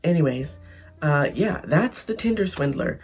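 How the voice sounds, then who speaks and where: A Canadian woman talking through an opinion vlog in a chatty, emphatic way, hoarse from losing her voice. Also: low-pitched, lively intonation, unhurried, a little mellow, faint music behind. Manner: chatty, emphatic, frequent restarts. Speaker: vlogger.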